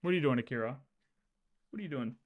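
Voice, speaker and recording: A man livestreaming in a casual, animated way, talking through lore with viewers, repeating phrases and often checking that they follow. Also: medium pitch, quick pace. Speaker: streamer.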